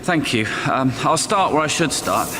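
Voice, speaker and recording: A man's voice: medium pitch, lively intonation, moderate pace, in a large hall, noisy background, close to an everyday voice. An actor playing a timid, bewildered man in a radio drama, hesitant and pleading. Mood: nervous, awed. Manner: hesitant, stammering.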